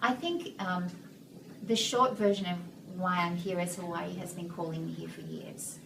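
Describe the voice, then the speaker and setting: An older Australian woman with a slightly low voice speaks gently and thoughtfully as a guest author. She sits away from the mic, so her voice comes across roomy and echoey. Steady noise hangs behind her. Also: normal speed, creaky, far from the mic. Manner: gentle, reflective, searching for words. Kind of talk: casual interview chat.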